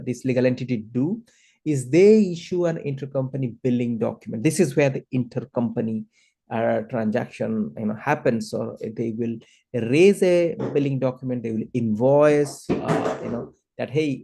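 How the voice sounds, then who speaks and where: A man teaching a software lesson as an instructor, explaining step by step in a hesitant, halting way with very frequent filler words. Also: medium pitch.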